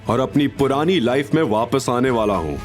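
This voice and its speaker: A man playing a proud, composed tycoon husband with a commanding, deliberate manner, in an audio drama. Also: animated, faint music behind, close to an everyday voice. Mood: proud, resolute. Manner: low-key, commanding, deliberate.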